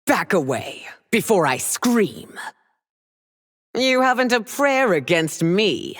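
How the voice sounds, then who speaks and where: An actress playing a haughty, menacing warrior queen in a video game, husky and theatrical, taunting imperiously. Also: mid-range voice, sing-song, slow pace, in a large hall. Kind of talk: video game character voice lines.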